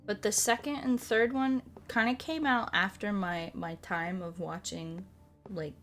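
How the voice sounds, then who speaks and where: A woman's voice: medium pitch, fairly even intonation, unhurried. Sleepy American woman chatting over music. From United States. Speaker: ASMR creator and live streamer. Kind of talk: casual chat while playing a puzzle game, reading and answering viewers' comments. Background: soft music.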